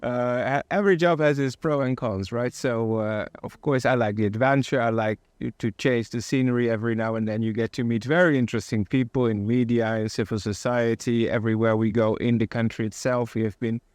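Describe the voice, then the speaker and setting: A man in his forties with a Dutch accent speaks as an interview guest. His mid-range voice moves in measured, slightly hesitant phrases, casual in tone. The recording is dry. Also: medium pitch, steady tone, darkish tone, no room sound. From Amsterdam, Netherlands. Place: outdoor terrace set.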